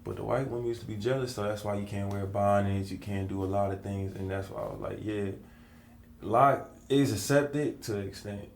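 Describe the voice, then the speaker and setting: Deep-voiced man in easygoing chat. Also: steady tone, fairly quick, echoey room, a little hiss or hum. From United States. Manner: easygoing, talks in loose run-on phrases. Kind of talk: casual conversation.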